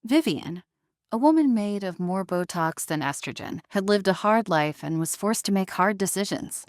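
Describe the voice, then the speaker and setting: A woman's voice: medium pitch, animated, normal speed, no room sound, studio quality. A woman narrating a scripted audio story in a dramatic storytelling style.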